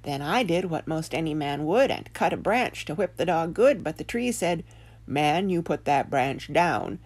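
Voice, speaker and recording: A woman voicing an excitable, easily spooked male farmer in a children's tale, sounding exasperated. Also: low voice, moderate pace. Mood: agitated.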